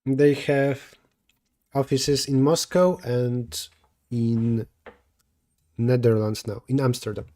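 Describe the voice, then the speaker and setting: Mellow-voiced Polish man thinking aloud. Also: mid-range voice, steady tone, takes it slowly, long pauses. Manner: thinking aloud, self-correcting mid-sentence. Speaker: streamer solving coding problems. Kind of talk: live coding stream, answering chat.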